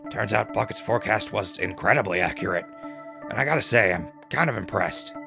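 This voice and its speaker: An actor playing a folksy, upbeat radio host and newsreader in a post-apocalyptic settlement drama, chatty and theatrical. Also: male voice, fairly low pitch, animated, fairly quick, gravelly, over music. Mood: wry, affectionate. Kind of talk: radio drama news broadcast.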